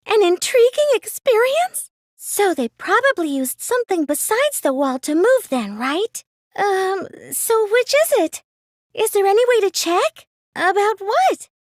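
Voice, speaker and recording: A very high, girlish voice for a plain and nerdy teenage girl in an anime mystery game. She sounds meek and mild, with a hesitant, questioning delivery. The sound is close and dry, with no room around it. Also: very animated, unhurried, no echo, heavily acted. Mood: puzzled, hesitant. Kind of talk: anime video game English dub acting.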